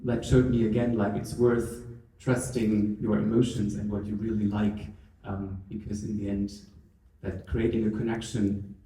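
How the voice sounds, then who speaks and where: A man on a discussion panel, answering in a reflective, soft-spoken way that trails off. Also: lowish voice, level tone, normal speed. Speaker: scientist panelist. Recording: echoey room, mic far off.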